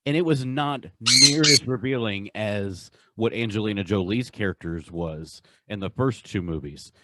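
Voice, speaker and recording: A man's voice: fairly low pitch, normal speed, bright, forceful tone, no echo. A man in his forties chatting casually as a podcast host, gushing and often repeating himself.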